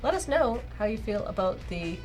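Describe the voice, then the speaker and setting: A woman's voice: mid-range voice, very animated, moderate pace, darkish tone, faint music behind, rough recording. A woman co-hosting a game stream, chatting casually and reading on-screen text aloud. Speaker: streamer and co-host.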